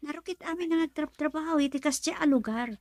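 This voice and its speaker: An actress playing a young woman who works at a club, asking her father lively questions. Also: sits high, fast, no echo, studio quality, soft background music, lightly acted. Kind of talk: radio drama acting.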